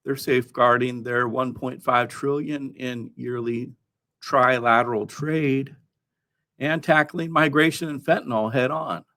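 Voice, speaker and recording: Male news countdown host, mid-pitched, halting delivery, half-scripted commentary with dry asides. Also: fairly even intonation, pushed and bright. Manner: halting, with frequent throat clearing and wry asides. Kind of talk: news commentary, part read, part ad-libbed.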